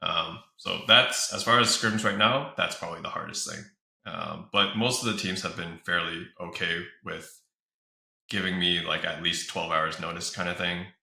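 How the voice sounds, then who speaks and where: A man's voice: deep voice, fairly even intonation, normal speed, creaky, sharp tone. A man giving casual interview answers as an esports organizer, recorded in a room with some echo. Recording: roomy echo.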